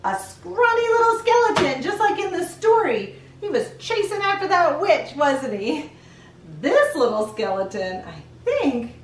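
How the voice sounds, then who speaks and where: A middle-aged woman hosting children's storytime, animated and sing-song, speaking directly to young listeners. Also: very high-pitched, unhurried, reverberant, a little hiss or hum. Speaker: children's read-aloud show host. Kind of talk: children's storytime presenting and picture-book reading.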